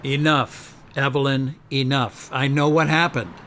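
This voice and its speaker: A man's voice: medium pitch, steady tone, unhurried, fairly bright tone, a little hiss or hum. An actor playing an earnest, articulate male attorney turned author in a radio drama. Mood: stern, insistent.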